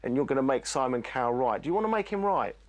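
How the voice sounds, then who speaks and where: A man's voice: mid-range voice, big pitch swings, fast talker. A man working as a vocal coach, giving firm, blunt pep talks to a singer. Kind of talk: coaching and reality TV interview.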